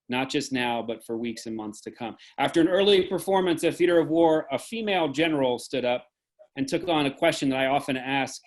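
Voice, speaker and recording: A man speaking as host of an online event, giving earnest, deliberate closing remarks on a video call. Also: mid-range voice. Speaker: host and moderator.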